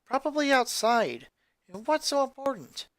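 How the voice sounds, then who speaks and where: A reader doing a brash, high-pitched teen tomboy. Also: big pitch swings, normal speed, no echo. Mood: irritated. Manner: brash, pushy, high-strung. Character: hot-tempered thirteen-year-old tomboy athlete girl, brash.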